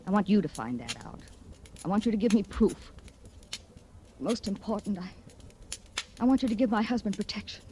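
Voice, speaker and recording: Poised, commanding actress as a wealthy radio-drama wife. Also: female voice, mid-range voice, big pitch swings, moderate pace, pauses often, mellow, natural voice. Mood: urgent, insistent. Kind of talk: 1940s radio drama acting. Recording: slightly noisy old radio recording.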